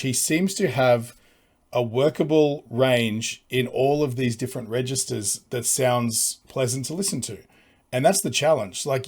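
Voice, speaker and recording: A man with a low voice hosting a music reaction video, talking casually and rambling with fillers and restarts.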